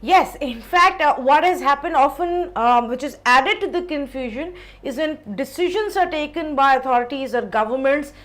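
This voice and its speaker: A woman with a high voice and an Indian accent hosts an explainer show. She lays out points articulately in long, flowing sentences and puts questions to a guest. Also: expressive, moderate pace, few pauses, a bit bright. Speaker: host, interviewer.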